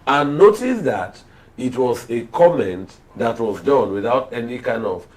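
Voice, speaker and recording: A man with a Nigerian accent giving political commentary on a TV panel in measured, oratorical phrasing. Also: mid-range voice, expressive, a little mellow.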